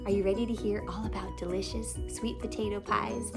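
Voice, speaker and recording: A woman hosting a read-aloud as a children's author, narrating a picture book in a deliberate, animated way for young children. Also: medium pitch, gravelly, over loud music. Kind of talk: expressive children's storybook narration.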